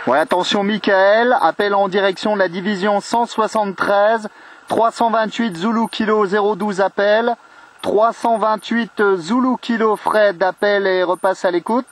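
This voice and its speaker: A man's voice: high voice, steady tone, a little slow, slight background noise. A man chatting casually as an amateur radio operator, trading call signs and friendly remarks.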